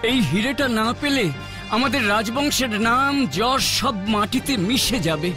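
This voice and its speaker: A man's voice: very high voice, moderate pace. A man voicing an anxious, courteous king and father in a children's cartoon, speaking formally over music. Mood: anxious, pleading. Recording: music playing behind the voice.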